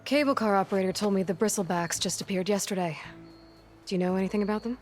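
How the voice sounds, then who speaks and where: A woman's voice: medium pitch, fast, darkish tone, natural voice. A woman playing a determined young warrior in a video game, guarded and solitary, with a terse, businesslike delivery. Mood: brisk, focused. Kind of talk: naturalistic video game cutscene acting. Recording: music behind the voice.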